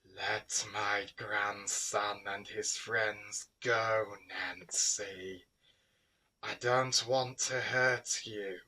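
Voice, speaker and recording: A man playing a cold, soft-spoken Grim Reaper. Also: lowish voice, fairly even intonation, extremely slow, far from the mic, exaggerated voice, rough recording. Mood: stern warning.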